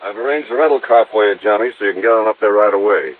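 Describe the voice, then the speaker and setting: A man's voice: fairly low pitch, fast, phone-quality sound, natural voice. An American man playing an earnest, businesslike insurance official in an old radio drama, explaining things carefully. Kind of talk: mid-century American radio drama acting. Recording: band-limited old radio recording.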